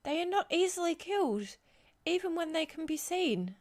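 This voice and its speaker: A man's voice: very high voice, very animated, moderate pace, pitched above the performer's own voice. A man voicing an urgent, commanding sorceress in a formal, emphatic manner. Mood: urgent, grave. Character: urgent, commanding female sorceress shouting over wind.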